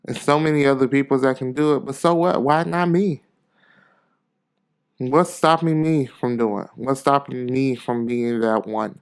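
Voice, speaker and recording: A man's voice: mid-range voice, a little slow, clean tone. A man hosting a podcast, a little congested from a cold, speaking in an earnest, casual, rambling motivational style and repeating phrases for emphasis. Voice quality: stuffy, congested nose. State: getting over a cold. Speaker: podcast host.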